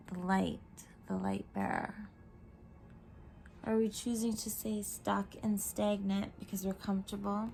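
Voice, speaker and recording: A woman giving a spiritual tarot reading at home into her camera. She talks in a croaky, meandering, reflective way, full of fillers, and often drops into whispers. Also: medium pitch, darkish tone, slight background noise. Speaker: tarot reader. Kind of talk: spiritual card reading monologue. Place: home room. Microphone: camera mic.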